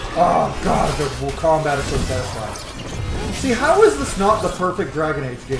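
Let's player, male, thirties: enthusiastic casual game commentary, free with opinions, roomy sound over background music. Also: on the high side. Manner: enthusiastic, opinionated, swears freely. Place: small room at home, indoors. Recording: roomy home recording under game audio.

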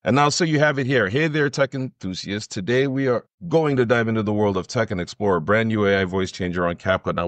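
A middle-aged man explaining software in an enthusiastic, conversational tutorial style. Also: mid-range voice, dry recording. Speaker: tutorial host.

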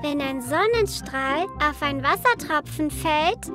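Very high-voiced little cartoon girl, unhurried, curious, cheerful, instructive, music behind. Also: animated. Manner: clear, simple, instructive. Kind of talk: children's educational cartoon dialogue.

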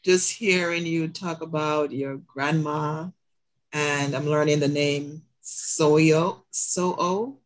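A woman's voice: low voice, fairly even intonation, rough recording. A woman speaking on a video call, giving a rambling, heartfelt comment with many ums. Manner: slow, rambling, with many ums and soft laughs. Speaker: audience member asking a question. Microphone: video call mic.

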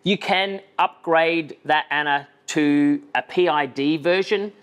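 A man's voice: on the high side, slow pace, smooth. A man in his thirties with an Australian accent, giving casual, opinionated advice to camera, gesturing as he talks, seated at a table in a small studio set. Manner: animated, talks with his hands, frank opinions. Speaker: coffee reviewer. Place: indoor studio set with shelves and plants.

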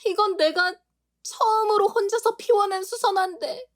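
A woman playing a blunt, feisty young woman who helps at her mother's flower shop, scolding in a sharp manner. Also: extremely high pitch, moderate pace. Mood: indignant. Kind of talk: amateur audio drama acting.

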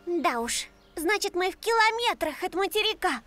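A woman's voice: very high voice, expressive, normal speed, fairly bright tone. A woman voicing a fussy grown housekeeper in a dubbed cartoon, full of exclamations. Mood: worried. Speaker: dubbing actor. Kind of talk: cartoon dub acting. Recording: music bed under dialogue.

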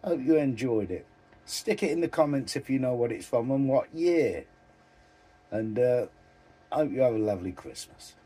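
A man in his sixties chatting casually to camera as a vlogger, with unhurried, hesitant phrasing. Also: medium pitch, animated, dark tone, husky. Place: small van interior at night.

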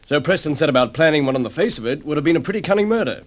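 An actor playing a calm, methodical detective sergeant laying out a case in an old radio drama. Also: male voice, on the high side, lightly acted. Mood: measured. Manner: measured explaining. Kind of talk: vintage radio drama acting.

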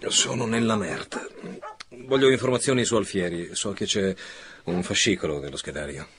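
An actor playing a curt, hard-pressed police officer in a radio drama, terse and guarded. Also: male voice, deep voice, moderate pace, a bit bright, a little hiss or hum. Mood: tense, demanding.

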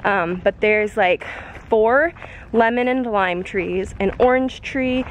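A woman's voice: medium pitch, takes it slowly, muffled recording. Young woman, gushing house tour, camera at arm's length, faint background noise. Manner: excited, gushing, talks to camera. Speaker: vlogger. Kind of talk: casual house tour vlog. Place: outdoors in a backyard and inside an empty house. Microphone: handheld camera at arm's length.

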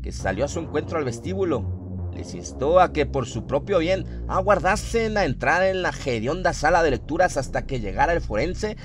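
A man's voice: a little high, very animated, moderate pace. A man narrating a scripted horror radio drama as its storyteller. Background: atmospheric music and sound effects.

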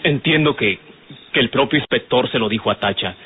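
A man's voice: mid-range voice, fairly quick, husky, close to an everyday voice. An actor playing an earnest, respectful young man with a Mexican accent, explaining himself politely and carefully in an old radio drama. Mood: earnest, defensive. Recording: noisy, narrow-band old radio recording.

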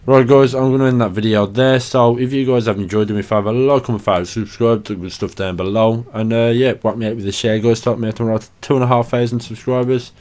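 A young man commentating casually over his game. He is tired and a little hoarse from a cough, chatty and sweary. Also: medium pitch, steady tone, quick pace, few pauses, a little mellow. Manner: sweary, chatty, self-deprecating. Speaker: gaming channel host.

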